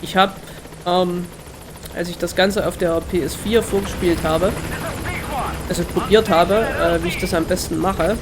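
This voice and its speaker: A man's voice: high voice, fairly even intonation, measured pace, noisy background. A man with a slightly congested, nasal voice from a cold, giving casual, hesitant Let's Play commentary as he thinks aloud.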